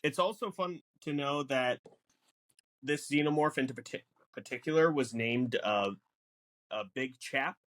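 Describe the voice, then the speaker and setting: A man co-hosting a casual film podcast, explaining things in a halting way with repeated words. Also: medium pitch, fairly bright tone. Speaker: podcast co-host. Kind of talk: casual film discussion chat.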